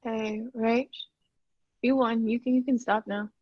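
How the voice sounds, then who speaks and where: Woman acting a husky, high-voiced supportive young friend. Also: steady tone, a little slow, no room sound. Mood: eager, gentle. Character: easygoing, supportive young woman in her twenties. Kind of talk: screenplay table read. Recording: dull, lo-fi, dry mic sound.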